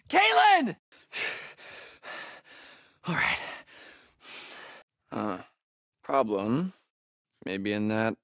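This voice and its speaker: A nervous young American guy from a horror video game. His mid-pitched voice has a creaky edge and sits very dry, with no room around it. He talks in hesitant, sardonic slang, like someone who covers his nerves with wisecracks. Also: fairly bright tone, no room sound, full character voice. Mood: wry, uneasy. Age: young adult. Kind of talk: naturalistic video game acting.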